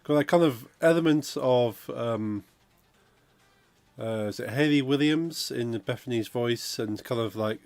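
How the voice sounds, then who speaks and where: A man, croaky from a cold, chatting casually on a game dev stream and reading out chat. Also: medium pitch, unhurried, a little mellow, no room sound. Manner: rambling, chatty, reads out chat messages. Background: funky music playing.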